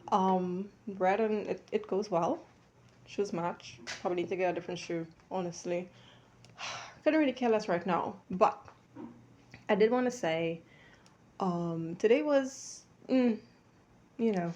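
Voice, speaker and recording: A woman's voice: medium pitch, mellow, slight background noise. A woman dressmaker talking casually to a tripod camera in her home sewing studio, rambling and chatty with a slightly stuffy, under-the-weather voice. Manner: chatty and rambling, many fillers and restarts. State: a little stuffy from a cold. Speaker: vlogger and dressmaker. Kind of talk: casual vlog chat to camera.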